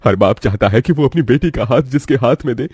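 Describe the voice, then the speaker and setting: A man's voice: medium pitch, lively intonation, fairly quick, a little mellow, slightly rough, no echo, clean studio sound. A man playing a poor, anxious, humble middle-aged labourer father in a cartoon moral story, speaking in a pleading way. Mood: tearful joy.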